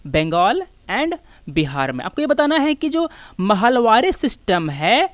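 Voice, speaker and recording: An Indian woman teacher with a fairly high, very animated voice, recorded dry and close. She explains in a didactic way, asking rhetorical questions and restating important phrases for emphasis. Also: measured pace, dry recording. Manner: switches between Hindi and English. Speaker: exam-prep history teacher.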